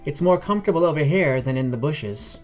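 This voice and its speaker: A man voicing a calm, welcoming female elf cleric in a fantasy audio story. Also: fairly high pitch, fairly quick, faint music behind, lightly acted. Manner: calm, unaccusing. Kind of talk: audio fiction character dialogue.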